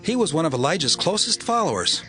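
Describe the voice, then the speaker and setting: An animated, high-pitched officer. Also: male voice, fast, over music. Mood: dutiful. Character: dutiful military officer of the king. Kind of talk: dramatized Bible audio drama.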